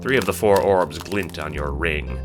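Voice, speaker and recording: Low-pitched man narrating over music. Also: moderate pace. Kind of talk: scripted second-person audio drama narration. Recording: music under the voice.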